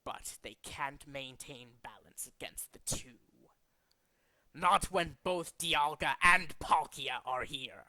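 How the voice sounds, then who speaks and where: A man voicing a cold, megalomaniac villain boss in a dramatic, deliberate video game monologue. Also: fairly high pitch, pushed and bright, dry recording, heavily acted. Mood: contemptuous, resolute. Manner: grandiose, deliberate, menacing. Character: cold, megalomaniac male villain boss bent on remaking the world. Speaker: amateur voice actor in demo reel.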